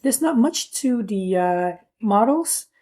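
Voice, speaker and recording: A woman's voice: a little high, animated, slow, warm tone. A woman giving a casual hands-on product review, explaining things in an informal, plain-spoken way.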